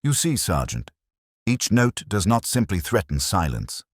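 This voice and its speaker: A man's voice: lowish voice, very animated, a little slow, breathy edge, no room sound, studio quality, pitched above the performer's own voice, heavily acted. A man voicing a mild, cryptic police inspector in a detective story, speaking in a formal, musing way. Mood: grave, musing.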